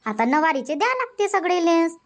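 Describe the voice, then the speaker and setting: A woman's voice: very high-pitched, sing-song, moderate pace. A woman voicing a bossy, chatty village farm woman in a cartoon comedy, insistent and scolding, with a Varhadi Marathi accent from Vidarbha. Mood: matter-of-fact, pressing. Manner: insistent, scolding, rhetorical questioning.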